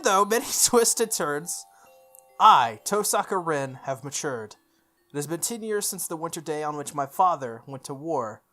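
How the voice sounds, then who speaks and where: Expressive game playthrough narrator over music. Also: medium pitch. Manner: expressive, stumbles and self-corrects while reading. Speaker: video game playthrough host. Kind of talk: reading visual novel text aloud with off-the-cuff asides.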